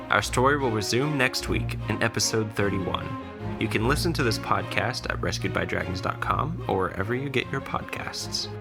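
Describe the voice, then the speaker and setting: A man's voice: on the low side, vocal fry. A man reading scripted recap narration as the narrator of a fantasy podcast.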